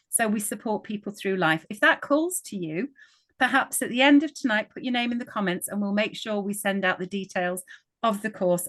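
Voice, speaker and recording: A woman's voice: mid-range voice, a bit bright, no echo. A woman from northwest England hosting an online ritual, passing the word around in an upbeat, chatty way. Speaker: ritual host. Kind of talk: online group introductions.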